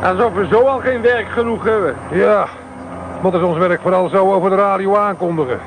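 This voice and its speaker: Man playing a weary detection officer, high voice, grumbling, noisy old radio play recording. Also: fairly quick, natural voice.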